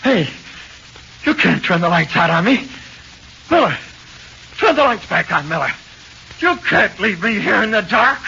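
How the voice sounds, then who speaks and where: A tough-talking American convict who frightens easily, his voice fairly high and sharp. The delivery is slangy and uneducated, in 1940s radio horror style. The recording is muffled, with faint hiss. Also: sing-song, unhurried, gravelly, pauses often, heavily acted. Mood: nervous bluster. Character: tough-talking jailed crook, defiant but easily frightened.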